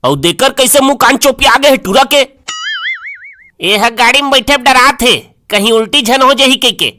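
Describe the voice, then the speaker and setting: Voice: high voice, moderate pace, sharp tone, faint music behind. A performer with a Chhattisgarhi accent voicing a teasing, exclamatory young village wedding guest in a comic cartoon.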